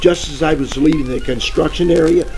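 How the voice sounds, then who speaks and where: Gravelly bumbling radio gumshoe played by a man. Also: fairly high pitch, fairly quick, close to an everyday voice, rough recording. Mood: aggrieved. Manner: rambling, hammy, slangy deadpan storytelling. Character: bumbling, boastful hard-boiled private detective and ex-cop. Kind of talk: parody of 1940s radio detective acting. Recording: old-time radio with music behind.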